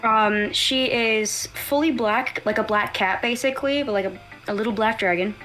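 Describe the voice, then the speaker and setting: Woman chatting haltingly over background music. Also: on the high side, poor audio. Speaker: livestream collaborator. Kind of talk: casual livestream chat about original characters. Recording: music behind the voice.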